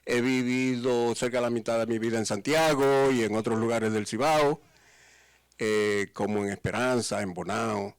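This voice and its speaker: A man in his fifties from the Dominican Republic, with a Dominican accent, answering interview questions as a teacher in a calm, measured, good-humored way. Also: mid-range voice, clear, resonant tone, no room sound, clean studio sound. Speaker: guest, teacher.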